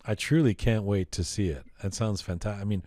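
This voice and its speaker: A man with a low voice interviews a guest on a podcast. He speaks effusively and repeats himself as he goes. The sound is close and dry. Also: brisk, warm tone, no echo. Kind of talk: conversational interview.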